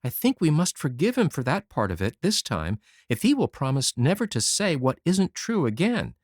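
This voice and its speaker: A reader voicing a gentle, earnest young schoolteacher woman who speaks softly, in an audiobook. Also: medium pitch, big pitch swings, normal speed, no room sound, studio quality, voice pushed up. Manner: soft, sorrowful, earnest. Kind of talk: audiobook character voice.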